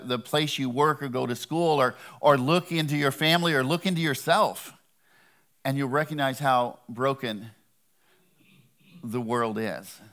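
A man's voice: medium pitch, a bit bright. A man giving a sermon as a guest preacher, talking in a conversational, earnest way with touches of humor.

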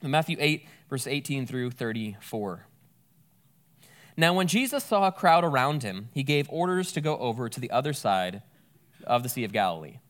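A man's voice: medium pitch, animated, normal speed. A man, a pastor giving a conversational sermon and reading scripture aloud. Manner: conversational, explains Greek words with a folksy aside.

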